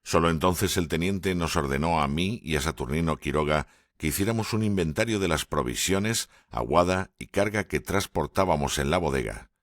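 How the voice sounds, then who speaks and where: Very deep-voiced male audiobook narrator. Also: fairly bright tone, no echo, clean studio sound. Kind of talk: scripted first-person narration.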